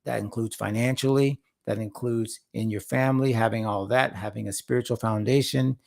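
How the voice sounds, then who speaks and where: Slightly hoarse, gravelly-voiced male host. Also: medium pitch, steady tone, moderate pace, darkish tone. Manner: earnest, repeats words for emphasis, rambling asides. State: slightly hoarse after a long session. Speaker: host and founder of a faith-focused creative platform. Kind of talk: casual livestream talk to viewers.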